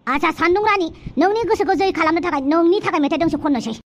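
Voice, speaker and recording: Woman voicing a high-pitched, fast-talking, coaxing cartoon father. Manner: coaxing, chatty. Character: soothing, wheedling cartoon father bargaining with a queen. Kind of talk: cartoon dialogue.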